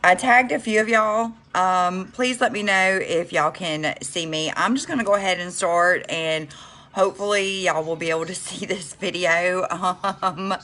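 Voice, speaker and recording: A woman's voice: on the low side, normal speed, pushed and bright. Chatty woman with a cold. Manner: chatty, many ums, addresses viewers as y'all. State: stuffy from a cold, sniffling. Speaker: makeup livestreamer. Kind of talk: casual get-ready-with-me livestream.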